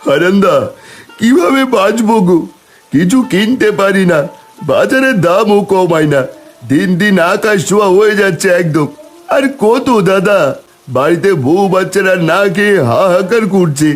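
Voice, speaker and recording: A man's voice: high voice, animated, normal speed, faint music behind. A man playing a starving, despairing village labourer in a cartoon, lamenting in a plaintive, pleading way. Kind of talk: Bengali cartoon voice acting.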